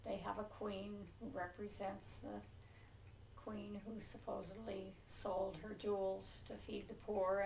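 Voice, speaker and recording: A woman's voice: mid-range voice, vocal fry. Elderly American woman, roomy recording. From Pinole, California. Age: 70s. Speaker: oral history interviewee. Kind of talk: reminiscing in a relaxed interview. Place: living room, on a leather couch. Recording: roomy, distant mic with faint noise.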